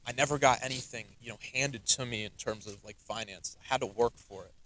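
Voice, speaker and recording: A man's voice: medium pitch, fairly quick, a little hiss or hum, low-quality recording. A young man, a podcast guest with Russian as his first language, talking earnestly and casually with many "you know"s. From United States. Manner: earnest, animated, frequent fillers like "you know". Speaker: podcast guest, musician and entrepreneur. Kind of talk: casual interview talk.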